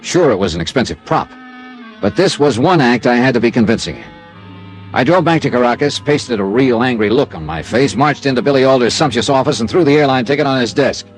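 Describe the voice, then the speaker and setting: A man plays a hard-boiled insurance investigator from a classic radio serial. His mid-pitched voice swings widely in tone, with a sardonic, clipped edge. He sounds tough and wry. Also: expressive, a little fast, close to an everyday voice. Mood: dry narrating. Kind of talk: classic radio detective drama acting. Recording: vintage radio drama with music.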